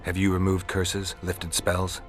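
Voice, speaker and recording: Deep gravelly man, world-weary monster hunter, terse, dry sarcasm, fantasy game dialogue. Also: monotone, measured pace, soft background music. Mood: businesslike. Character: gruff, sardonic monster-hunting warrior for hire. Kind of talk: video game dialogue acting.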